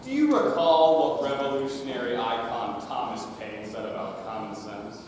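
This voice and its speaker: A man's voice: medium pitch, expressive, moderate pace, natural voice. A young man playing a pompous adult explorer who hands out advice in a theatrical stage comedy manner. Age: teenage or young adult. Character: pompous grown male explorer dispensing advice. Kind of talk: high school stage comedy acting. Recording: very noisy echoey hall from far off.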